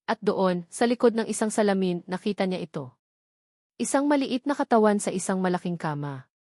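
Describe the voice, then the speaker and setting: A woman narrates a dramatic audiobook in an emotive storytelling manner. Her pitch sits in the middle for a woman. The sound is dry, with very little room around it. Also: lively intonation, a little fast, darkish tone, no echo.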